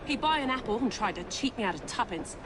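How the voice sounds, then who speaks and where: An actress playing a chatty, forthright fruit seller in a game cutscene. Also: female voice, a little high, expressive, fast, natural voice, rough recording. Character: chatty working-class female street fruit seller. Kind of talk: acted video game cutscene dialogue. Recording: loud music behind the voice.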